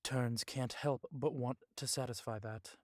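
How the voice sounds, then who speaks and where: An actor playing a stern, cautious vampire police chief in an audio drama, speaking gravely with some Spanish words mixed in. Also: male voice, medium pitch, steady tone, unhurried, dry recording, clean studio sound, lightly acted.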